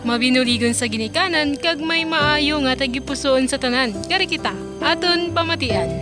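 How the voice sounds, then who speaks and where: A woman radio announcer introducing a story and reading an upbeat ad. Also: moderate pace. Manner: upbeat selling pitch. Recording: loud music behind.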